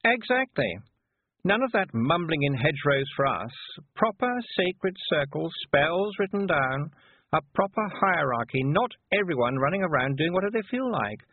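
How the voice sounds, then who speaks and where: Man voicing a haughty, high-pitched bossy teenage witch. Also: sing-song, moderate pace, breathy edge, no room sound, exaggerated voice. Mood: haughty, superior. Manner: scolding, condescending, emphatic. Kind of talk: audiobook character voice. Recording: muffled narrow-band phone-like sound.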